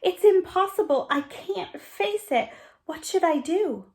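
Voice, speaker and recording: A woman voicing a timid, frightened young woman in a storybook, pleading in a high, quavering voice. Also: lively intonation, measured pace, a little mellow, exaggerated voice.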